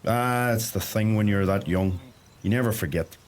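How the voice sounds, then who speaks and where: An actor playing a young, dry-humoured Donegal farmer in a radio play, understated and colloquial in manner. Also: male voice, on the low side, moderate pace, noisy background, lightly acted. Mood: wistful, reminiscing. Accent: Donegal Irish. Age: young adult.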